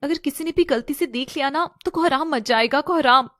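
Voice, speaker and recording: Woman acting guarded, concerned young woman, high-pitched. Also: brisk, fairly bright tone, no room sound, clean studio sound. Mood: alarmed. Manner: dramatic, questioning, concerned. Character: guarded young woman confronting and comforting her childhood love. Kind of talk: Hindi audio story acting.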